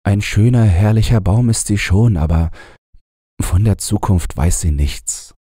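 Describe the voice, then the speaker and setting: A man voicing an earnest, sorrowful husband in a fairy tale, speaking in a heartfelt, measured way. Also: fairly low pitch, warm tone, husky, no echo, studio quality, voice pushed up.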